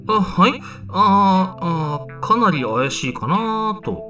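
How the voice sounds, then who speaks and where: A young man playing a flustered, flippant high school boy in an amateur voice drama, casual, sceptical and given to exclaiming. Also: sing-song, slow, far from the mic, over music, full character voice.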